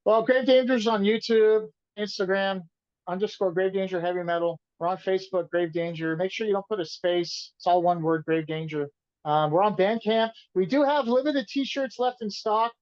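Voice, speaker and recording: A man's voice: sits high, moderate pace. Rambling man, dull lo-fi sound. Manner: relaxed, rambling, repeats himself. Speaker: band vocalist and guitarist being interviewed. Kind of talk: casual interview answers.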